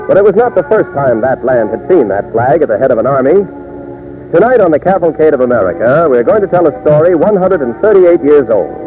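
Casting: male old-time radio narrator, dramatic and lively, scripted delivery, phone-like vintage sound. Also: fairly high pitch. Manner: dramatic, declamatory. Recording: tinny old radio broadcast. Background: music.

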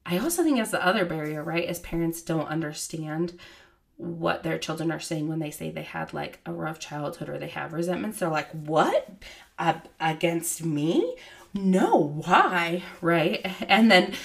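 A woman in her thirties hosting her own podcast as a child therapist, chatting casually with lots of "like" and "you know", a little hoarse from a cold. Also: deep voice, animated, unhurried. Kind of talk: casual solo podcast talk.

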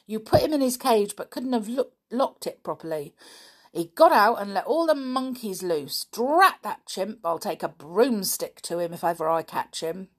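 A woman voicing a cross circus camp woman with a scolding, rough rustic way of talking, in a children's storybook. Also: lowish voice, expressive, a little slow, a little mellow. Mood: scolding, angry.

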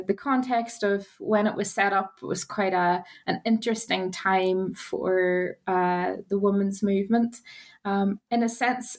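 A woman with a Northern Irish accent, a law academic talking thoughtfully as a podcast guest, with many small pauses and restarts. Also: medium pitch. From Northern Ireland. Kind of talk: podcast interview.

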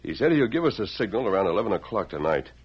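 A deep-voiced, husky American cowboy hero, steady and resolute in a radio western. He speaks in measured, terse phrases on a muffled vintage recording. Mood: earnest, resolute. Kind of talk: old-time radio western acting. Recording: dull, lo-fi old radio recording.

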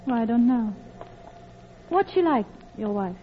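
An actress playing an anxious, guilt-ridden middle-class wife in a classic radio drama, with a polite, hesitant, confessional manner. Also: female voice, a little high, expressive, unhurried, slight background noise. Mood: polite, curious. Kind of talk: classic radio drama acting, with intimate narration. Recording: dull old radio recording with music.

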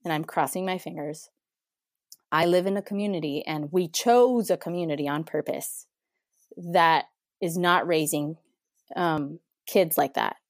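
A woman's voice: sits low, a little slow, no echo, clean studio sound. A woman in her thirties from Mexico, talking as an interview guest in a chatty way, laughing often and restarting her sentences. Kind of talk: casual conversation.

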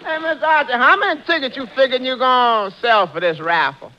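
An actor playing a droll tavern employee, a wry underdog who answers with dry wisecracks in 1940s radio comedy style. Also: male voice, extremely high pitch, expressive, brisk, very noisy. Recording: dull 1940s radio recording.

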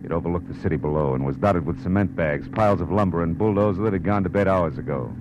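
An American actor playing a tough, wry private detective in a 1940s radio drama, with a clipped, sardonic delivery. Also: male voice, low voice, quick pace, background noise, lightly acted. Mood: terse narrating. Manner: hard-boiled, clipped, sardonic. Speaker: lead actor and first-person narrator. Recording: old radio broadcast with music behind.

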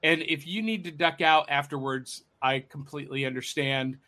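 A man's voice: a little high, fairly even intonation, normal speed, pushed and bright. A man hosting a casual podcast over a video call, upbeat and giving his guests big buildups. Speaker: podcast host.

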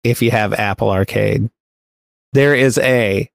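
A man's voice: fairly low pitch, unhurried, creaky, no echo, clean studio sound. A man giving a casual news segment as a co-host on a pop culture podcast.

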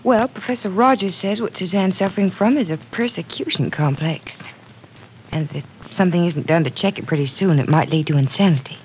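An actress playing a caring young woman in a 1940s radio soap, gentle and sympathetic. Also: lowish voice, fairly quick, slight background noise, close to an everyday voice, poor audio. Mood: concerned.

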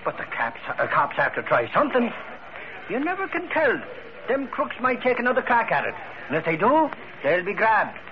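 An actor playing a brash, excitable, sarcastic police-beat reporter, slangy and emphatic, in 1940s radio style. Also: male voice, high voice, moderate pace. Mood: confident. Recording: muffled, noisy old radio recording.

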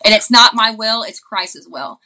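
Earnest woman with a very bright, forceful voice. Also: on the high side, lively intonation, normal speed. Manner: earnest, impassioned, repetitive phrasing.

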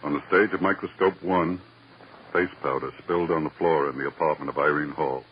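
An actor playing a sly, wheedling police lab technician in an old-time radio crime drama. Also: male voice, extremely low pitch, fairly even intonation. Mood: smug. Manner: wheedling, drawn-out teasing. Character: sly, needling male police lab technician, fond of favors. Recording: muffled, noisy vintage radio recording.

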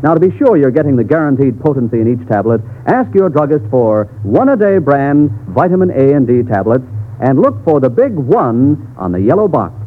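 Thin old-radio American announcer man, animated pitch. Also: normal speed, phone-quality sound, slight background noise. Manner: earnest, persuasive. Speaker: commercial announcer. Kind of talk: 1940s radio advertising, scripted. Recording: thin, band-limited vintage radio broadcast.

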